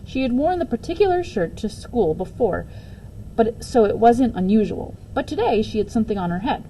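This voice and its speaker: A woman reading a children's book aloud as a teacher would, in an expressive storytelling style. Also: on the high side, brisk, a little hiss or hum, poor audio.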